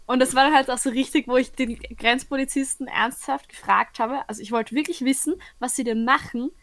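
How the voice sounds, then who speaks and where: A young Austrian woman speaking with an Austrian accent as she chats with friends. She tells a long story and keeps restarting her sentences. Her voice sits fairly high and sounds dry and close to the mic. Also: steady tone, fairly quick, a bit bright, no room sound. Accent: Austrian German. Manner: storytelling, repeats her openings when she starts a sentence. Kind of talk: casual chat.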